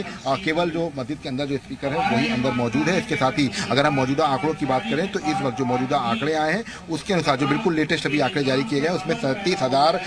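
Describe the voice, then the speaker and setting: A man reporting live for the news in a wordy, halting way, repeating himself. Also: medium pitch.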